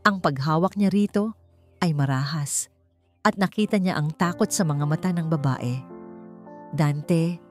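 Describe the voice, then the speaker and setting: A woman's voice: deep voice, moderate pace, a little mellow. A woman narrating a scripted romance drama in a solemn, emotive storytelling manner. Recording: music under the voice.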